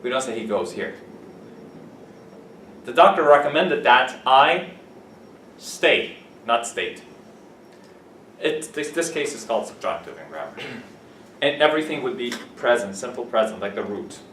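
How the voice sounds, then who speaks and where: A man teaching an English vocabulary lesson to a class, playful and quick to laugh, giving example sentences. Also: mid-range voice, big pitch swings, fairly bright tone, slight background noise. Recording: echoey classroom, camera from a distance.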